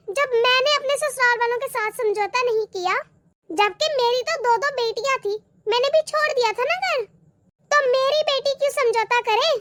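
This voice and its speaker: A woman voicing a defiant, scornful middle-aged mother who scolds emphatically in an animated family drama. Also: extremely high pitch. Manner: scolding, emphatic, rhetorical questioning.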